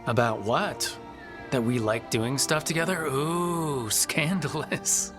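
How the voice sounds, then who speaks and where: An actor playing an easygoing adventurer leader in a video game, relaxed, encouraging and playfully teasing. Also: male voice, medium pitch, takes it slowly, lightly acted. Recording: game music behind the voice.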